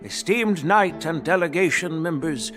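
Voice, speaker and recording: Old grandpa general for a game, formal and stern, takes his time, pitch moves around a lot. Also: expressive, over music. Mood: solemn, formal. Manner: ceremonious, measured, authoritative. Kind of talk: video game voice acting.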